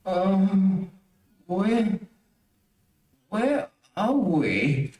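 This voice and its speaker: A man playing a dazed, grateful alien prince in an old-time radio serial, halting and awed. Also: sits high, big pitch swings, darkish tone, full character voice. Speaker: amateur radio play actor. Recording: very echoey, distant streamed stage audio.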